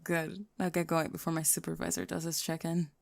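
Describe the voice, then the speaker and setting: A no-nonsense female museum night worker played by a woman in an ASMR roleplay. Her voice is on the low side and gravelly. The delivery is flat and matter-of-fact. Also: brisk, no room sound, studio quality. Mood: businesslike, dry. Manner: flat, businesslike.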